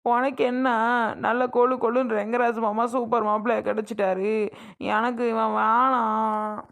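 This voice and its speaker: Casting: actress for weeping young village bride, high-pitched, southern Tamil Nadu accent, stubborn and pleading, family drama. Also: female voice, normal speed, close to an everyday voice. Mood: sulky protest. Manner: whining, pleading. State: crying.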